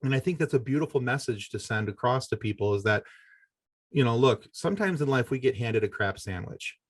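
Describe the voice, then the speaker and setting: A middle-aged American man speaks in an earnest, conversational way, unspooling long explanations as a podcast guest. His voice sits a middling pitch, recorded dry with very little room sound. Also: animated, a little fast, no room sound. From Michigan, United States. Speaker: podcast host appearing as interview guest. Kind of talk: conversational interview answers.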